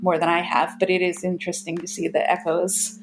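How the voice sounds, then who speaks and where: A woman's voice: lowish voice, level tone, fairly quick, music in the background, poor audio. A woman speaking as a writer and podcast guest, earnest and thoughtful in casual conversation.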